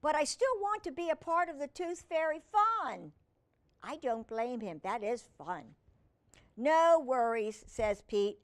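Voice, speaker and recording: A woman's voice: high-pitched, unhurried. A woman reading a picture book aloud to young children, speaking expressively and putting questions to the listener. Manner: expressive, asks the listener questions, simple child-directed wording. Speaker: children's storyteller and humane educator.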